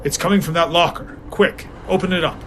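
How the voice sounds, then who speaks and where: A cool, matter-of-fact police inspector, played by a man, staying level while the crime unfolds around him. His voice is bright and a touch high, with faint noise behind. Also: steady tone, a little fast, lightly acted.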